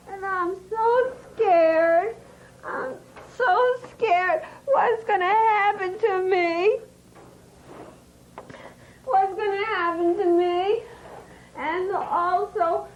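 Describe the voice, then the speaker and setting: A high, tearful voice of a frightened young animal trapped in a cage, as a woman speaks for it. She talks slowly through sobs, repeating her pleas again and again. The recording sounds a little muffled. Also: slight background noise, exaggerated voice. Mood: terrified sobbing. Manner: tearful, pleading, repeating herself. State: crying. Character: terrified young orphaned jungle animal, caged.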